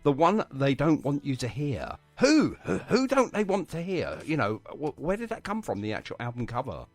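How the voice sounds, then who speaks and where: A man's voice: a little high, huge pitch swings, normal speed, no room sound, soft background music. A man hosting a live radio interview in an effusive, jokey way, chatting to guests. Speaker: radio host.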